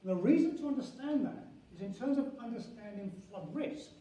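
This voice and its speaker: An older man lectures at an academic conference in a high, soft-edged voice. He proceeds haltingly, restarting phrases and leaning on key terms. The sound is heavily reverberant and picked up from a distance. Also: lively intonation. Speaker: university professor and head of geography school. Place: large echoing conference venue indoors. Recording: very echoey hall, distant mic, faint noise.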